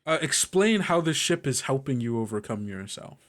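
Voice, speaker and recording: A man's voice: mid-range voice, very animated, moderate pace, fairly bright tone. A man acting as game master, mixing improvised narration with informal table talk and talking the rules through as he goes.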